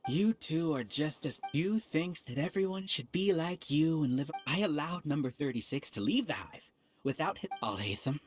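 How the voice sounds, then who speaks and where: An actor playing an arrogant, resentful researcher who leads a hive mind in a video game, with a grandiose, theatrical delivery. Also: male voice, fairly high pitch, animated, normal speed, close to an everyday voice. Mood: smug, menacing. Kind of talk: dramatic video game dialogue. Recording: music behind the voice.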